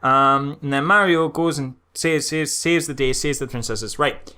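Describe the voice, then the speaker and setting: A British man co-hosting a film podcast, chatting casually and comedically, animated and given to exasperated repetition for emphasis. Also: mid-range voice, unhurried, smooth.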